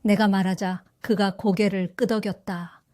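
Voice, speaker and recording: An older woman narrating her own story in an audio drama, calm and reflective. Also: mid-range voice, a little slow, dry recording, clean studio sound. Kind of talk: scripted first-person audio drama narration.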